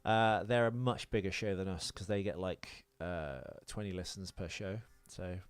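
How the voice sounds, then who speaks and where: A man's voice: deep voice, moderate pace, smooth, no echo. A man co-hosting a casual podcast, chatting off the cuff in a sweary, self-deprecating, rambling way. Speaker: podcast co-host. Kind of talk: casual unscripted podcast banter.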